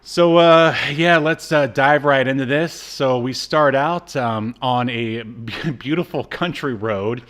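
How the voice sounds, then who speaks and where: A man's voice: medium pitch, slow, hardly any pauses, a bit bright. A man co-hosting a podcast, casually recapping an episode with wry, sarcastic asides. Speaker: podcast co-host.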